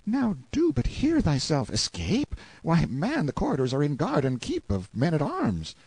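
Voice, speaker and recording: Man voicing a timid, high-pitched medieval boy. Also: huge pitch swings, normal speed, darkish tone, slightly rough, no echo, full character voice. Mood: earnest warning. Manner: archaic speech, pleading. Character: timid, superstitious medieval page boy, light-hearted and easily terrified. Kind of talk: audiobook character acting.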